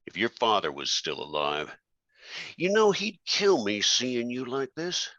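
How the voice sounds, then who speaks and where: An actor playing a menacing older crime boss and club-owning uncle in a scripted table-read drama, deliberate and threatening. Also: male voice, medium pitch, huge pitch swings, pushed and bright, no echo, muffled recording, exaggerated voice. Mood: concerned.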